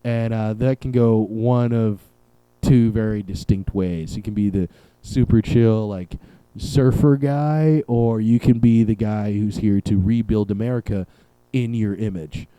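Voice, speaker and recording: A young man talks by himself on his podcast, his voice very dark and mellow. He rambles through sarcastic jokes and swears freely. The recording is dry and close, with no room echo. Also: lowish voice, fairly even intonation, a little slow, clean tone, highs cut off. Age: young adult. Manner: sarcastic, rambling, profane riffing. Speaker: podcast host. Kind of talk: solo comedic podcast rant. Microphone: camera and podcast mic.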